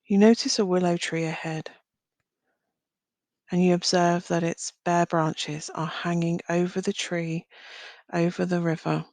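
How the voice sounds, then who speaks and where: A woman leading a guided visualisation as a meditation guide, speaking gently in short phrases with long pauses. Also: fairly low pitch, level tone, dry recording. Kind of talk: guided visualisation, slow soothing narration.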